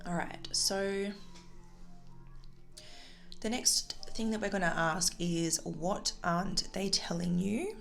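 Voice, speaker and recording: A woman's voice: mid-range voice, slow pace, creaky, long pauses, fairly bright tone, soft background music. A woman giving a casual, intuitive tarot reading to viewers, talking in a tentative, reflective way with trailing phrases. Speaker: tarot reader and channel host.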